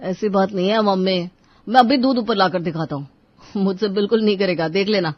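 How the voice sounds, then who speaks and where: A woman voicing a lazy, irritable father who grumbles and complains in an animated moral story. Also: mid-range voice, fairly quick, no room sound, muffled recording, lightly acted. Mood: defensive. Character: lazy, grumbling grown man, father of two boys.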